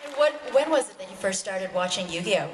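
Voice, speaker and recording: A woman's voice: medium pitch, animated, fairly quick. A woman hosting a live panel, interviewing in a casual, playful way. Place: large indoor convention hall, on stage. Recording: echoey hall, distant mic, crowd, noise.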